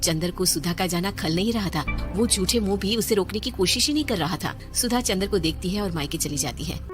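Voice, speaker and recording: A woman's voice: mid-range voice, animated, fairly quick. A woman narrator reading a scripted moral story. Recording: music under the voice.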